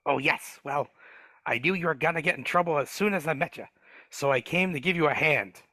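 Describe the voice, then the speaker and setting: A man's voice: on the high side, fairly quick, sharp tone, dry recording. A man doing a grumbly sly dwarf guide. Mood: grumbling. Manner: hesitant, stammering, wheedling. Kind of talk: live dramatic table read over video call. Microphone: video call mic.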